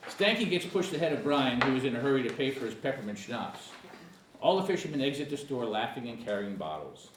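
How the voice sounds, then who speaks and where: Male narrator, screenplay table read, lively intonation, medium pitch, roomy echo, slightly noisy. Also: brisk. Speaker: narrator reading stage directions. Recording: echoey room, distant mic, faint noise.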